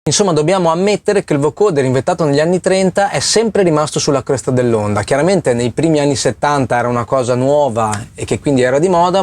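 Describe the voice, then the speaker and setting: A man hosting an informal step-by-step music software tutorial, explaining things and often checking in with short tags. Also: fairly high pitch. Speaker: host of a music production tutorial channel.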